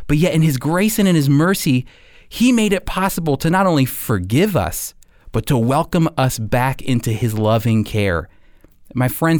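A man giving a scripted radio sermon as host and Bible teacher, speaking earnestly with deliberate, emphatic phrasing. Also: medium pitch, a little mellow, dry recording, clean studio sound.

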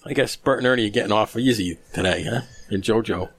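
A fast-talking man who hosts the chat. He keeps things moving briskly and steers the conversation. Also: fairly low pitch, breathy edge. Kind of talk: casual chat.

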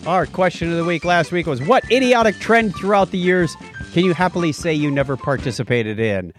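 A man's voice: a little high, expressive, fairly quick, faint music behind. A middle-aged man co-hosting a podcast in casual, light-hearted chat with a jokey, teasing manner. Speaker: podcast co-host.

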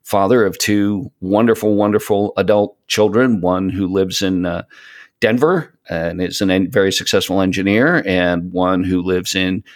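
A 57-year-old man chatting casually as a podcast guest, speaking in a halting way with rambling asides. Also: low-pitched, steady tone. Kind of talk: casual interview chat.

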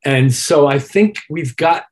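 A man with a husky voice talking thoughtfully and articulately as a musician being interviewed. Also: fairly high pitch, slow, darkish tone. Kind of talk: reflective conversational interview.

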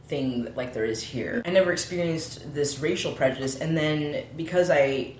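Deep-voiced woman raised in costa rica, chatty and rambling, roomy living room sound. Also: moderate pace, a little mellow, slight background noise. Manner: chatty, rambling, with many restarts. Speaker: interview guest. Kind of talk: casual conversation.

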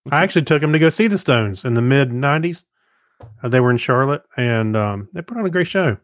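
A man's voice: mid-range voice, expressive, quick pace, no room sound, studio quality. A man co-hosting a podcast, giving informal opinions in a rambling, hesitant, conversational way. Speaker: podcast co-host. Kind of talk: casual chat, informal reviews.

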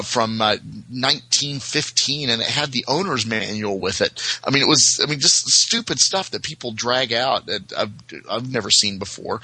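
Middle-aged American male, very bright and sharp-edged, mid pitch, rambling casual podcast guest. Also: low-quality recording. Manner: rambling, hesitant, frequent filler words. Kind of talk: casual chat about TV shows.

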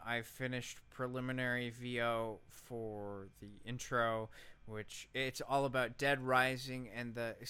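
A man with a clean, smooth voice that has a bright edge. He chats as a podcast co-host, giving opinions in informal, slangy language. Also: mid-range voice, no echo. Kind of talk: casual podcast chat.

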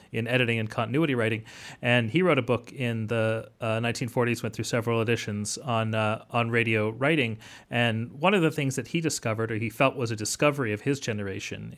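Mid-pitched man, thoughtful academic guest. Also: fairly bright tone. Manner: thoughtful, hesitant, academic wording. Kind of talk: explanatory interview talk. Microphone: remote line.

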